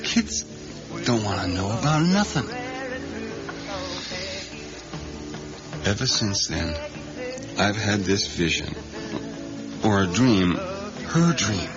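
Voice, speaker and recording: Slow-talking, haunted diner worker. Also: male voice, fairly low pitch, big pitch swings, heavily acted. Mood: dreamy, haunted. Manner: halting, repetitive, brooding storytelling. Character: odd, haunted diner kitchen worker with strange memories. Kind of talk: 1980s radio drama acting. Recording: dull 1980s radio drama recording, music.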